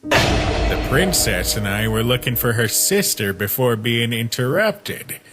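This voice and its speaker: A haughty young goat prince voiced by a man for a comic fan dub. He speaks slowly and resolutely, with animated intonation and earnest authority. Music is clear behind him. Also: mid-range voice. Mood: protective, firm. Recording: voice over background music.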